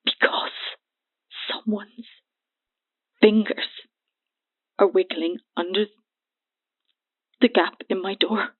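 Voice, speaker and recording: A woman in her twenties playing a haunted, terrified witness in an audio horror drama, speaking haltingly and hushed through a telephone filter. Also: medium pitch, fairly even intonation. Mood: terrified, pleading. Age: 20s. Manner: halting, hushed, breathy delivery. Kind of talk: audio horror drama acting, phone confession. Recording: thin phone-line sound.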